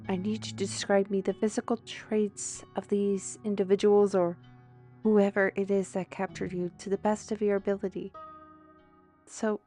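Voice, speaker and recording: Casting: steady, caring woman of magic, female actor, patient questioner, fantasy audio drama over soft music. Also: mid-range voice, close to an everyday voice. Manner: reassuring, deliberate. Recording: music under the voice.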